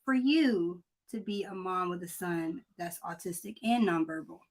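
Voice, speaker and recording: A woman's voice: lowish voice, normal speed, darkish tone. Supportive woman co-host. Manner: supportive, laughs easily. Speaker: magazine co-host. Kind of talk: casual live-stream chat.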